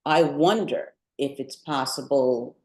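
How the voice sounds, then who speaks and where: An older woman with a low voice speaks slowly and thoughtfully, pausing mid-sentence as she reflects on her work. The recording is roomy and echoing. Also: a little mellow. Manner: measured, thoughtful, with deliberate pauses mid-sentence. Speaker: poet and artist being interviewed. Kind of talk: reflective talk about own creative work at a book event. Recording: roomy, echoing live event sound.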